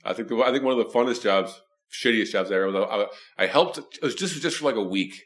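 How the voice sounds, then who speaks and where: A man with a deep voice chatting casually, telling self-deprecating stories with frequent stammering restarts. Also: quick pace. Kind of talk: casual conversation.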